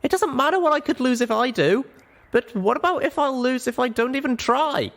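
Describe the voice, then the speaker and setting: A man's voice: very high voice, animated, brisk, fairly bright tone, voice pushed up, heavily acted. A man voicing a young female pony, an earnest, stubborn and anxious heroine, for a storybook reading. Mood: heated, pleading. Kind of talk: audiobook character voice.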